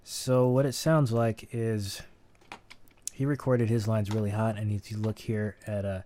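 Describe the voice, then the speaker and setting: A man's voice: lowish voice, little pitch movement, a little slow, dark tone, slight background noise. A man teaching sound editing in a casual, think-aloud tutorial, with keyboard clicks behind him. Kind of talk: screen-recorded tutorial.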